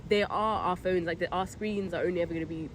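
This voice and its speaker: A young woman who is a native Somali speaker, talking about her work in a relaxed conversation. She talks thoughtfully and fills it with "like" and restarts. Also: on the low side, fast talker, slight background noise. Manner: chatty and thoughtful, many "like" fillers and restarts. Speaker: guest, zine maker. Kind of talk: casual conversation.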